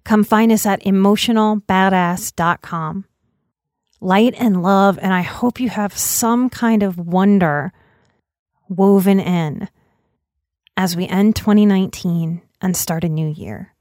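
A woman podcast host reading aloud in an expressive storytelling style. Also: medium pitch, slow, no room sound, studio quality.

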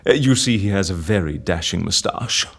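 An actor playing an excitable, scheming man in a comedy audio drama, with a theatrical, musing and breezily unconcerned delivery. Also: deep voice, normal speed, slightly rough, exaggerated voice. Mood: gleeful scheming. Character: excitable scheming man running a death-faking agency.

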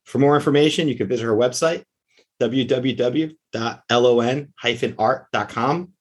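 A man's voice: mid-range voice, normal speed, slightly rough. A man hosting a radio talk show, introducing a guest in an upbeat, enthusiastic way. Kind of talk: interview hosting, reading an introduction.

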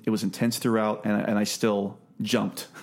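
A man hosting a casual podcast review, talking haltingly and repeating words as he thinks. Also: fairly low pitch, level tone. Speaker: podcast host. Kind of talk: casual film review discussion.

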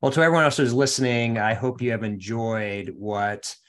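A man's voice: medium pitch, steady tone, normal speed. A man hosting a podcast, politely affirming and thanking his guest. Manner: affirming, courteous. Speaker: podcast host. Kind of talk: interview wrap-up.

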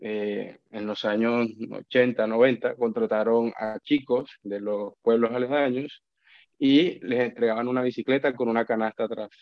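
A man's voice: medium pitch, fairly even intonation, normal speed, dry recording. A Colombian man in his thirties, speaking as a podcast guest with a Colombian Spanish accent. He talks reflectively, stopping to repeat and restart words. The recording is dull and lo-fi, with a dry, close sound. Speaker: guest, account manager. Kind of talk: casual podcast conversation.

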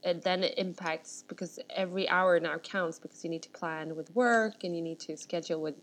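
Chatty low-voiced woman. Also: fairly even intonation, brisk. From Sweden. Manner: chatty, rambling with many restarts. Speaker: startup founder, guest. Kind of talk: casual interview chat.

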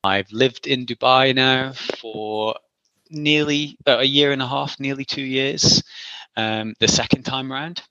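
A man in his forties from the Isle of Man speaks with a bright, forward tone. He answers in a casual, rambling and self-deprecating way. The recording is dry and a little dull. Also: mid-range voice, fairly even intonation, slow pace, smooth, no room sound. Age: 40s. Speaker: guest.

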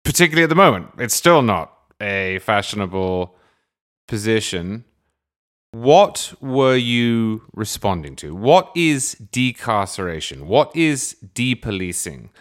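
A man's voice: lowish voice, sing-song, a little slow, fairly bright tone. A man hosting his podcast with a dry, wordy, mock-grandiose manner before interviewing a guest. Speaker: podcast host. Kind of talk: scripted comic monologue and interview.

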